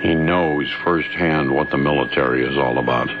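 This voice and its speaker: A man's voice: rumbling low, moderate pace. An American man hosting a talk radio show, chatting casually with a caller. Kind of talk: casual call-in chat. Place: radio studio. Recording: dull AM radio aircheck, noisy hum.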